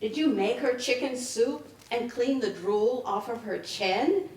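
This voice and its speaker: Woman acting grieving defiant daughter, higher voice, slow biting delivery, sarcastic, staged reading, echoey room. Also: darkish tone, natural voice. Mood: angry, accusing. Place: indoor live reading at a public library. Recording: echoey room, picked up from a distance.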